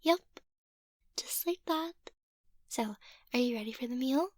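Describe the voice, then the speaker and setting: A woman playing a playful, sweet young vampire mistress in an ASMR roleplay, soft-spoken and teasing, often slipping into a whisper. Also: high voice, expressive, unhurried, dry recording, studio quality. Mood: playful, coaxing.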